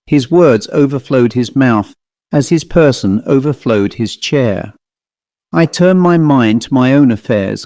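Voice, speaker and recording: A male storyteller reads a short story in scripted narration. His pitch sits in the middle range, and the recording is dry, with hardly any room sound. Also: warm tone, dry recording. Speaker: audiobook narrator.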